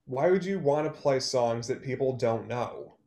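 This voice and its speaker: A man's voice: mid-range voice, unhurried, dark tone, lightly acted. Bitter, guarded young songwriter, played by a man. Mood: resentful. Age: young adult. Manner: curt, guarded, resentful. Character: Liam, bitter, heartbroken male songwriter, guarded toward former love. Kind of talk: one-act play read over video call. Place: plain-walled room at home, indoors. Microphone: video call.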